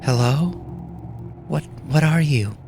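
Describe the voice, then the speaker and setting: Man voicing a fragile, confused captive woman, pitched up. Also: music in the background. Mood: bewildered, searching. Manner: halting, stammering.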